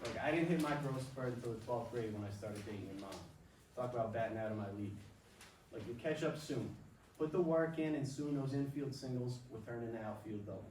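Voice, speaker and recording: A man playing a warm, encouraging father who gives folksy, coaxing pep talks in a staged play reading. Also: medium pitch, fairly quick, lightly acted. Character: warm, encouraging Bronx father, former minor-league ballplayer. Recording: echoey room, far mic, faint noise.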